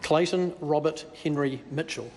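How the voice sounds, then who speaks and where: Kiwi guy reading names out formal and measured, echoey big chamber, faint hum. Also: a little high, darkish tone. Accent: New Zealand. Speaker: parliamentary clerk. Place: large wood-panelled debating chamber.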